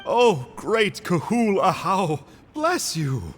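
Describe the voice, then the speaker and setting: A man's voice: sits high, sing-song, takes it slowly, long reverb tail, soft background music, full character voice. A man voicing a cheerful, cheeky male agent of a villainous order, mock-polite and teasing.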